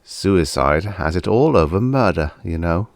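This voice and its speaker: A male narrator reads a vintage short story in a deep voice. His intonation moves freely, in a scripted storytelling style, and the recording is dry and close. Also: expressive, a little slow, dry recording. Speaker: audiobook narrator.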